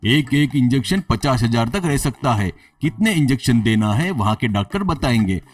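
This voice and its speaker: A man's voice: medium pitch, lively intonation, normal speed, far from the mic, lightly acted. Man voicing a grave, matter-of-fact doctor. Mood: grave, urgent. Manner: serious, instructing. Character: grave male government hospital doctor, firm and matter-of-fact.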